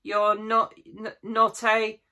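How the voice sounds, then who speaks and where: A man's voice: high voice, monotone, takes it slowly, pushed and bright, voice pushed up, exaggerated voice. A man voicing an anxious older-sister girl in a storybook, questioning in a hesitant manner. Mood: shaky.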